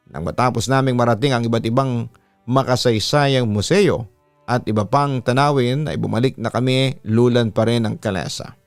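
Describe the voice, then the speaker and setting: Male Filipino radio-style narrator for a story read. Crisp, resonant, measured and dramatic, close-miked over faint music. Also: medium pitch, dry recording. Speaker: radio announcer hosting a horror story show, reading listeners' letters. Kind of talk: scripted first-person story narration. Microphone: close studio microphone. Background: soft background music.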